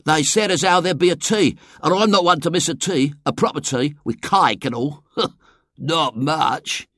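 A man's voice: fairly high pitch, unhurried, a bit bright, dry recording, clean studio sound, pitched above the performer's own voice. A man voicing a blunt, scornful, offhand boy with a working-class English accent. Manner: offhand, disdainful. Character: scornful, blunt poor boy in a big cap.

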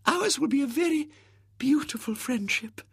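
A man reading aloud and voicing a gushing, overbearing spinster in a shrill, breathless, theatrical way. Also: expressive, normal speed, creaky, fairly bright tone, no room sound, studio quality, full character voice. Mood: adoring.

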